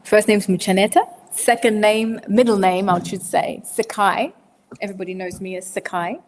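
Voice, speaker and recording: A woman's voice: medium pitch, fairly even intonation, moderate pace, big-room sound, a little hiss or hum. A woman in her forties from Zimbabwe, a podcast guest answering questions in a loose, hesitant way with lots of fillers and restarts. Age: 40s. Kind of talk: casual interview answers.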